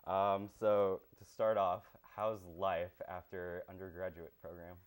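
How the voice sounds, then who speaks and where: A man's voice: low voice, level tone, unhurried. A young man presenting from a small TV studio, delivering scripted lines in an earnest, rehearsed way. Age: young adult. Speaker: student presenter.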